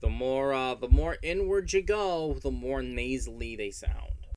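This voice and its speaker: A man's voice: medium pitch, lively intonation, unhurried, darkish tone. Podcast co-host, New York man, a bit nasal, opinionated, stumbles and restarts words. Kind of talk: casual chat.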